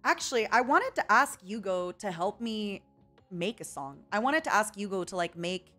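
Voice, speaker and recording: A woman's voice: mid-range voice, animated, moderate pace, sharp tone, faint music behind. A young woman streaming a casual chat with viewers, rambling and reading out chat messages. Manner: chatty, rambling, reads chat aloud.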